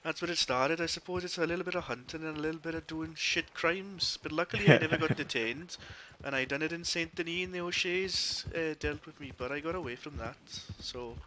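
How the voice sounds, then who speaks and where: A man's voice: a little high, brisk, hardly any pauses, pushed and bright, a little hiss or hum, low-quality recording. A man with a Welsh accent playing an amiable small-time Wild West bandit in an online roleplay game, chatty, polite and a little hesitant. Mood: sheepish, amused. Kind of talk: improvised in-character game chat.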